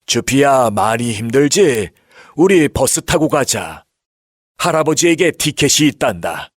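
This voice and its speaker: A kindly old storybook grandfather, acted by a man, gentle and patient in his explaining. His intonation moves up and down in a lively way, and the recording is close and dry. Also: medium pitch, a little slow, no room sound. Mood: caring. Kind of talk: children's storybook acting.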